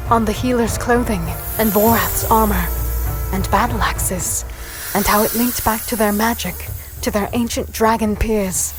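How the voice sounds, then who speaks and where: A woman's voice: medium pitch, lightly acted, low-quality recording. A woman playing an earnest human heroine, narrating her story in the first person with dramatic intensity for a fantasy audio drama.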